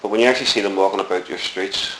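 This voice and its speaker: Deep-voiced Belfast man, echoey room. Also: rapid-fire, pushed and bright. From Northern Ireland. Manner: rambling, reflective storytelling with many fillers. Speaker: interviewee. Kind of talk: oral history interview. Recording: echoey room with faint hiss.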